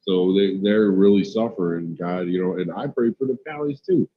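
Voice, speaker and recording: A man's voice: sits low, normal speed, lo-fi sound. A middle-aged man talking casually in a blunt, combative, profane way. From United States, South Side of Chicago. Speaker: live stream host. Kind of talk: casual opinionated talk.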